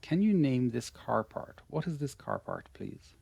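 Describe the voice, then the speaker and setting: An Irish man in an online lesson, coaching a student through pronunciation. His voice sits at a middling pitch, and he stays patient and encouraging, handing out praise again and again. The sound is dry and close, with no room around it. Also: wildly swooping pitch, unhurried, vocal fry, a little mellow, husky, no echo. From Ireland. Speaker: English pronunciation teacher.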